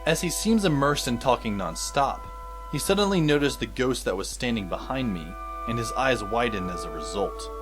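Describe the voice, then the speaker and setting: A man playing a wry, weary teenage schoolboy who narrates an audio drama in a dry, deadpan way. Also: mid-range voice, normal speed, over music, close to an everyday voice. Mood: wry, pensive. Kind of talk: scripted first-person audio drama narration.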